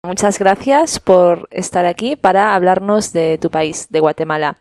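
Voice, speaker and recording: A woman's voice: fairly low pitch, unhurried, dry recording, studio quality. A woman with a Peninsular Spanish accent hosting a language-learning podcast, an engaged interviewer who backs her guest with frequent murmurs of assent. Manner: engaged interviewer, frequent "ujú" backchannels and soft laughs. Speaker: podcast host.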